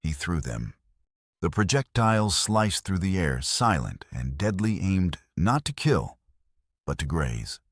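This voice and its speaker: A man's voice: low-pitched, big pitch swings, a little slow, breathy edge, no echo, clean studio sound. A man narrating an action audio novel in a dramatic storytelling style.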